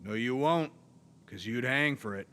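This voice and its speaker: A man playing a measured, watchful western marshal in a radio drama, noncommittal in manner. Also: mid-range voice, pushed and bright. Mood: firm. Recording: guitar music behind the voice.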